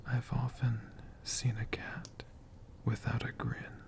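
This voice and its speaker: Guy being a friendly bookish dragon doing whispered asmr stories, super slow n gentle, creaky breathy voice. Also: mid-range voice, flat delivery. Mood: gentle, musing. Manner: slow, gentle, lingering and repeating words. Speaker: ASMR host.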